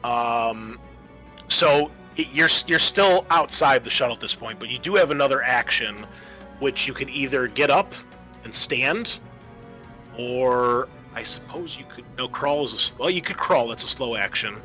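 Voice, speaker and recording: A man acts as game master in a tabletop roleplaying session, with a bright, forward voice. He narrates and explains rules conversationally, feeling out rulings as he goes, while music plays faintly behind him. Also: medium pitch, moderate pace.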